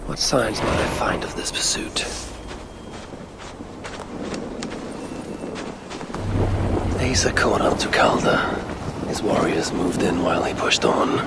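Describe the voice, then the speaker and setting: Gravelly, grim Norse god. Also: male voice, low voice, heavy background noise. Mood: intent, muttering. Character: shrewd, grim Norse god tracking his enemies. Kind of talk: dramatic video game dialogue and muttered deduction.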